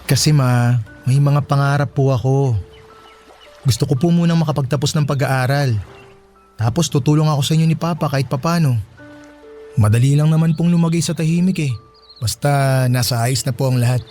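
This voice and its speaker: Mellow, earnest young man, played by an actor. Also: medium pitch, brisk, long pauses, natural voice. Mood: earnest, hopeful. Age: young adult. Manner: earnest, respectful. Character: devoted, respectful grown son, a hopeful student. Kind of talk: Filipino radio drama acting. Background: music.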